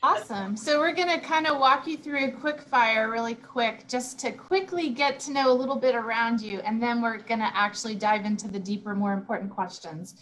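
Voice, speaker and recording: A woman co-hosting a podcast interview, leading friendly quick-fire questions. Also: a little high, fairly bright tone, a little hiss or hum, low-quality recording. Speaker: podcast co-host.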